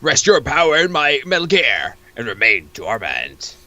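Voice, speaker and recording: A man's voice: medium pitch, huge pitch swings, moderate pace, sharp tone, full character voice. A man voicing a melodramatic parody of a sneaking action-game hero, declaiming in mock drama. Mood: urgent, mock-dramatic.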